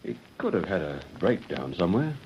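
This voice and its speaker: An actor playing a polite, dutiful junior police officer in a radio drama. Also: male voice, low voice, big pitch swings, brisk, darkish tone. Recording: muffled old radio recording, faint noise.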